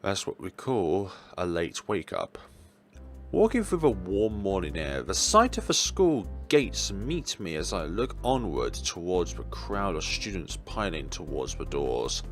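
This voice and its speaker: A man reading a visual novel story aloud in an expressive, dramatic way, as a let's player. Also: deep voice, measured pace. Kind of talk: expressive read-aloud narration of game text. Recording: music playing behind the voice. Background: game background music.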